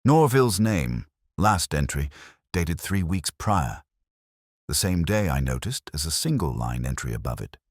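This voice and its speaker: A man narrating a first-person detective story in a measured, brooding, literary way. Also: rumbling low, very animated, no room sound, studio quality.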